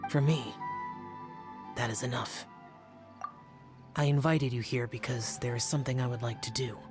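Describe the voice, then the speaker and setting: A man's voice: medium pitch, steady tone, normal speed, over music, lightly acted. A man playing a solemn, reserved young male warrior adept in a video game, speaking formally and with restraint. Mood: quiet, sincere.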